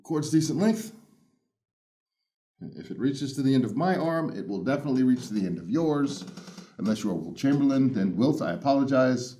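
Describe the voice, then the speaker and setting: A loud, big-voiced man hosting a casual, playful unboxing of earphones. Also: mid-range voice, animated, moderate pace. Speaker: headphone reviewer. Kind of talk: casual unboxing first impressions.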